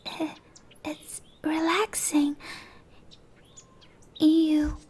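A woman voicing a shy, gentle young dryad in a breathy, hesitant ASMR whisper. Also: high voice, flat delivery, very slow. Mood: soft, earnest. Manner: hesitant, soft-spoken, earnest. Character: shy, gentle young female dryad, a tree-bound monster girl.

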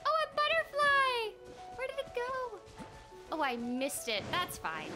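An excitable, giggly young woman chatting casually on a live game stream, often repeating her phrases, close on a desk microphone. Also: very high voice, unhurried. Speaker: streamer and voice actress. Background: game music.

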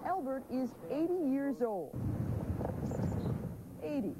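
Female field reporter, wry measured read, noisy ambience behind. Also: sits high, big pitch swings. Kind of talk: news report narration.

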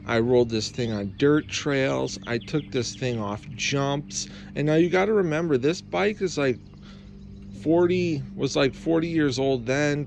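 Gravelly young man chatting casually outdoors. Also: mid-range voice. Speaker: vlogger reviewing vintage bikes. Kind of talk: casual unscripted review to camera. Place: outdoors, in a yard and driveway. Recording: outdoor handheld recording with ambient noise.

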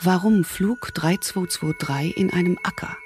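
A woman's voice: lowish voice, unhurried, darkish tone, faint music behind. A woman narrating a scripted German thriller audio drama as the storyteller.

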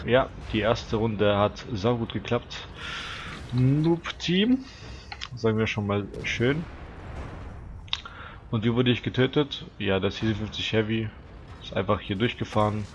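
A teenage boy comments on his tank game in an easygoing, chatty way, speaking straight to his viewers. His voice sits fairly low and sounds stuffy from a cold. The desk mic recording is a little dull, with a faint hiss underneath. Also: measured pace, long pauses. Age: teens. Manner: chatty, relaxed, talks to viewers. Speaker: gaming channel host. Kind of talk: casual gameplay commentary.

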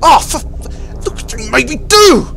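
A woman's voice: fairly low pitch, expressive, normal speed, soft background music, close to an everyday voice. A woman voicing a spiteful, boastful little male tender engine with a sneering manner. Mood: angry.

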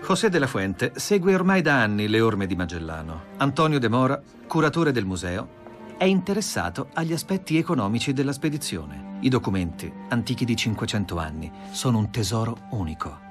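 A male narrator reading a scripted history documentary at a middle pitch, with a lively voice that climbs and falls as he tells the story. Faint music plays underneath. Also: fairly quick. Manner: expressive, storytelling delivery.

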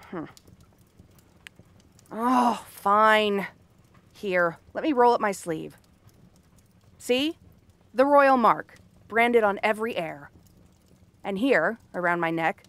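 A woman's voice: mid-range voice, a bit bright, no echo. A woman playing a cranky, sarcastic exiled princess cursed into a frog girl, in a fantasy audio roleplay. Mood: grudging. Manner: snappish, sarcastic, tsundere tomboyish bluster. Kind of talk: fantasy ASMR roleplay acting.

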